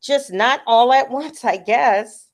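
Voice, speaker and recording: A woman's voice: a little high, slow. A woman voicing a young boy llama in a children's storybook, eager and easily hurt, with a childlike, wondering manner. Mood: wistful.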